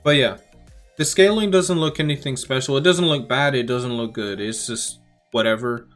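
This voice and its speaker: A man giving casual game reaction commentary as a YouTuber, talking informally and rambling as he thinks out loud. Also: mid-range voice, moderate pace. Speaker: gaming YouTuber. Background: music.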